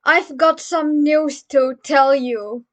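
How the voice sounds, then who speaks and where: A woman's voice: high voice, slow. A woman voicing a reserved grown man who is flustered and submissive, speaking hesitantly and pleadingly. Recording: dull lo-fi recording.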